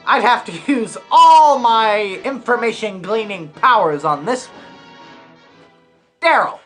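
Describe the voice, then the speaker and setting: A woman playing a blunt, wry detective in a scripted radio parody, with a deadpan, theatrical delivery. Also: fairly high pitch, slow, faint music behind. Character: blunt, wry detective narrating a murder investigation.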